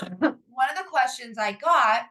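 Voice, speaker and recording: A woman in her forties with a raspy, hoarse voice, hosting a casual podcast in an upbeat, encouraging way with frequent fillers. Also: sits high, animated, slow pace, pushed and bright. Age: 40s. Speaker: podcast host and author.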